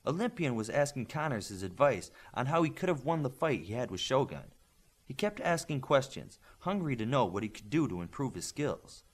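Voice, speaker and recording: A man reading scripted fiction aloud as an audiobook narrator, in an expressive, animated manner. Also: medium pitch, moderate pace, husky.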